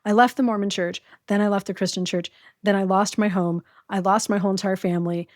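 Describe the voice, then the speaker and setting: A woman's voice: mid-range voice, fairly even intonation, fast talker, no room sound, clean studio sound. A middle-aged woman, a podcast guest, candidly telling her own story in a conversational interview. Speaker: guest, domestic violence survivor.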